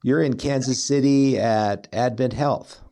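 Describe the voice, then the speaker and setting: A man's voice: mid-range voice, a little slow, warm tone. A man hosting a health interview as a physician, talking conversationally and often repeating words as he rephrases. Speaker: host, physician.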